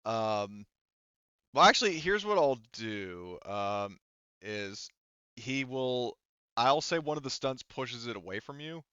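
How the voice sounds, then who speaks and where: Clear, bright-voiced man running a tabletop game. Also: mid-range voice, sing-song, slow, no echo, highs cut off. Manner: informal, profane, thinking aloud while working out rules. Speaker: game master. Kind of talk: casual tabletop roleplaying game narration.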